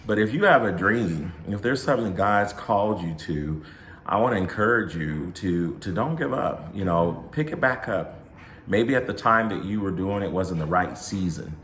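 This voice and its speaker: Man, co-host; very low voice, soft-spoken and affirming; echoey room. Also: animated, normal speed. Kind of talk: casual faith talk.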